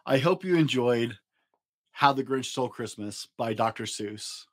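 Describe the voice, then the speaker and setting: A man in his forties giving an expressive, rhyming storybook narration for children. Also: mid-range voice, measured pace. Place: small home room, indoors.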